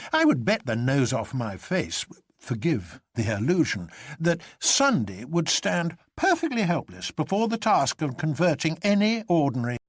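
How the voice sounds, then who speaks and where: A man voicing an earnest, incredulous gentleman detective in an audiobook, animated and articulate. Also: mid-range voice, breathy edge, dry recording, studio quality, exaggerated voice. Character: Syme, earnest incredulous English gentleman detective, exaggeratedly cheerful.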